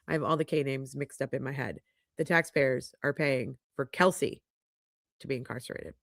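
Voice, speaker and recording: A woman's voice: extremely low pitch, moderate pace, gravelly, no room sound. Congested middle-aged woman hosting a stream, frank chatty talk, dry close mic. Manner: chatty, frank, reads viewer comments aloud. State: congested, sniffling. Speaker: podcast host reading live chat. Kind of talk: casual livestream chat. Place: home podcast studio. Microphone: studio microphone.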